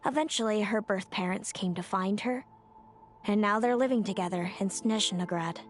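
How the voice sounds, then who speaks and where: An actress playing a bitter, tormented fae older sister in a video game, speaking in a dramatic, wounded, confessional way. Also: female voice, medium pitch, normal speed, natural voice. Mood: calm, explaining. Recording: music under the voice. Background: background music.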